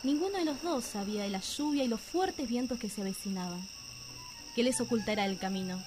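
A woman with an Argentine accent narrating a radio drama in a measured, suspenseful storytelling manner. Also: a little high, background noise. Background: music.

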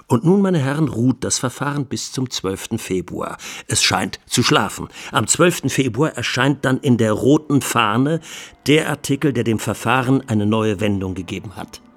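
An actor playing an indignant, incisive lawyer in a radio drama, making a formal, emphatic plea to the judges. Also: male voice, mid-range voice, lively intonation, normal speed. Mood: grave, insistent. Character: male trial lawyer, indignant and incisive, arguing before judges. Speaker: lawyer in court.

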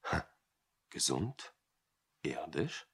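Indecisive boss, radio drama, husky, very lively intonation, hesitant, stammering, exasperated. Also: male voice, very deep voice, very slow, full character voice. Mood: musing, muttering. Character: flustered, indecisive male company director, pondering his engagement. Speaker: actor. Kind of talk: radio play acting.

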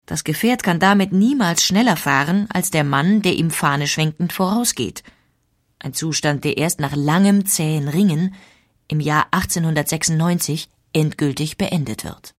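A woman's voice: sits low, moderate pace. A woman reading scripted historical documentary narration in a factual, composed manner.

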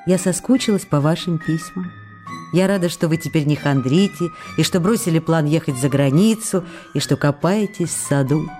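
An actress playing an affectionate, teasing, wistful woman of the theatre reading her letters aloud in an intimate, expressive radio drama style. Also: sits low, normal speed, close to an everyday voice. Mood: tender, eager. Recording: music behind the voice.

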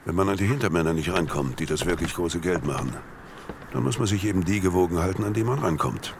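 An actor playing a world-weary, dryly ironic narrator in a Berlin crime radio drama. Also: male voice, low voice, animated, quick pace, vocal fry, background noise. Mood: weary, cynical. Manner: dry, ironic.

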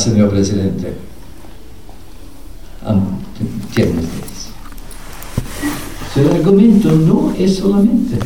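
Slow, mellow older man lecturing in a hall. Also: lowish voice, slight background noise. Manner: measured, emphatic, pausing between short phrases. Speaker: peace researcher giving a lecture. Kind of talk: public lecture. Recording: echoey hall, speaker far from mic.

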